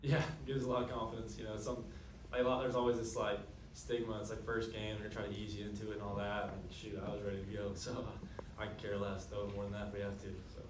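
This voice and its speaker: A young man answering questions at a postgame press conference, earnest and quick, with lots of fillers. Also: on the low side, little pitch movement, noisy background. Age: young adult. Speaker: college quarterback. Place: press room, seated at a table. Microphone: table mic, camera at a distance. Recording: echoey room, from a distance.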